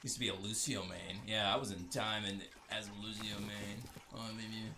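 Guy rambling and joking thru a game playthrough, lowish croaky voice, bit of noise behind him. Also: fairly even intonation, moderate pace. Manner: rambling, joking asides to viewers. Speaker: gamer recording a playthrough. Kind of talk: casual gameplay commentary. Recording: noisy, uneven low-level home recording.

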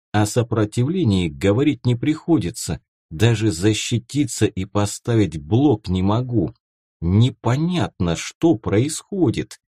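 A man narrating first-person fiction from a script as an audiobook, with a dramatic, expressive delivery. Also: on the low side, husky, dry recording, studio quality.

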